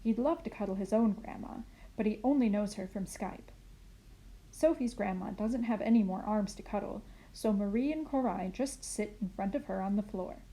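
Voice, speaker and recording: A woman reading a scripted children's story as narrator, in an expressive storytelling manner. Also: mid-range voice, moderate pace, low-quality recording. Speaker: narrator and reader.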